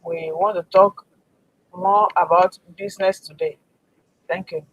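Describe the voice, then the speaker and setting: A woman's voice: on the low side, fairly even intonation, measured pace, a bit bright, breathy edge, dull audio. A woman with a Nigerian accent introducing herself as a mentor on a livestream.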